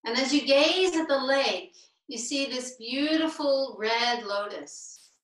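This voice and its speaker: A woman leading a guided meditation as a teacher, speaking deliberately in short, spaced-out phrases over a video call. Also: high voice, fairly bright tone. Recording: roomy, distant video-call microphone.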